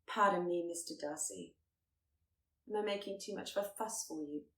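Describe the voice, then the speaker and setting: Woman doing a sharp-tongued young lady in a period romance audiobook, headstrong n formal, bit of a jab in it, echoey room. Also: lowish voice, normal speed, close to an everyday voice. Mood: defiant. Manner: pointed, formal, sarcastic.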